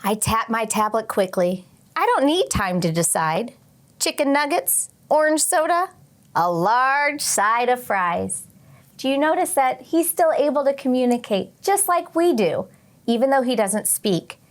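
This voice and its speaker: A woman teacher reading aloud and talking to young children, expressively, with questions and pauses for their answers. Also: fairly high pitch, sing-song. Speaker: teacher hosting a children's read-along. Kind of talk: read-aloud and lesson addressed to young children.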